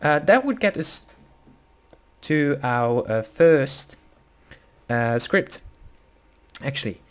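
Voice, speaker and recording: A young man with a Dutch accent talks through a beginner coding tutorial in English, his second language. His delivery is casual and halting, full of fillers and quick self-corrections. His voice sits at an ordinary middle pitch. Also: expressive, clean tone. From Netherlands. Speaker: tutorial host. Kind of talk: screen-recorded coding tutorial.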